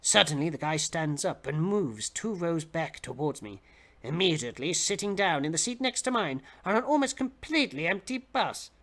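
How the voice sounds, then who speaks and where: A man's voice: on the high side, big pitch swings, moderate pace, pushed and bright. A man reads fiction aloud in a theatrical, mock-serious audiobook style, as if performing for a livestream. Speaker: reader of comic fiction on a livestream. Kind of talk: dramatic audiobook-style reading.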